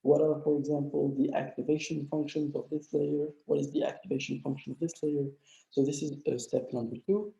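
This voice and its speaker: A man's voice: on the high side, steady tone, brisk, vocal fry, mellow. A man with a mild flu teaching a live online coding lecture, explaining step by step with many filler sounds. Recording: dull lo-fi echoey room.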